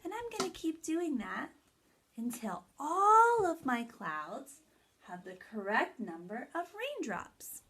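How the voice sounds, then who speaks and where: A woman with a fairly high voice speaks as an early childhood teacher. She talks directly to small children in simple phrases, walking through activities step by step and stressing the key words. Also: very animated, slow, fairly bright tone. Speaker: early childhood teacher hosting story time. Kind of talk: children's lesson, read-aloud and activity instructions.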